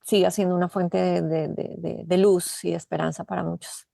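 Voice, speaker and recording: Gravelly-voiced woman hosting an interview. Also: on the low side, flat delivery, moderate pace, warm tone, no room sound, studio quality. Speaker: podcast host.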